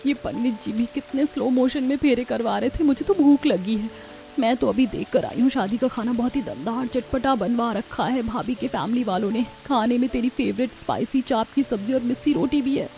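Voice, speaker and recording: Woman voicing a pert, high-pitched young cartoon woman. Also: moderate pace, vocal fry, mellow. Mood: hungry, impatient. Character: eager, hungry, chatty young woman at a wedding. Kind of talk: Hindi cartoon story dialogue.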